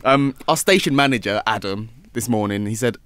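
A man's voice: lowish voice, brisk, fairly bright tone, smooth, no echo. A young man from England hosting a casual radio interview in a small studio, easygoing and affirming. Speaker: radio host. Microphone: studio microphone.

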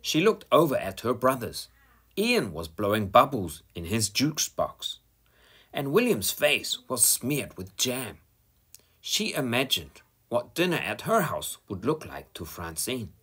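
A man reading a children's picture book aloud at an unhurried pace, his voice husky and bright. His pitch rises and falls widely as he tells the story. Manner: slow, expressive reading. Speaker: storybook reader.

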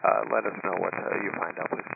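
A male airline pilot gives a radio report in a gravelly voice. The aviation channel is thin and phone-like, with a little static. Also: fairly low pitch, level tone, fast. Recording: narrow cockpit radio with faint static.

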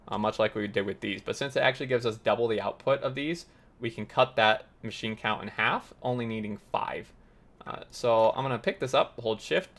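A man with a gravelly, slightly raspy voice, worn from losing it, walks through a game build step by step. He thinks aloud with frequent uhs at a mid pitch, and keyboard typing clicks behind him. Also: fairly bright tone. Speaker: gaming tutorial host. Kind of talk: explaining gameplay while playing.

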